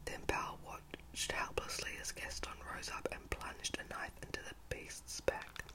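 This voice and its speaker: A young woman whispering breathily and close to the mic for ASMR, hushed and informal as she tells stories and talks to viewers. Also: measured pace. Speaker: ASMR storyteller and channel host. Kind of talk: whispered ASMR, reading a storybook and chatting to viewers. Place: at home indoors. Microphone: close-up microphone.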